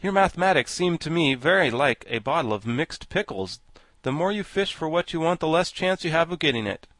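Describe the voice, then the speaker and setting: Man as a wry, animated living scarecrow. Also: fairly high pitch, fairly quick, no room sound, voice pushed up. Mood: wry, reasoning. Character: living scarecrow, good-natured, wry, talkative male. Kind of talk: storybook character voice.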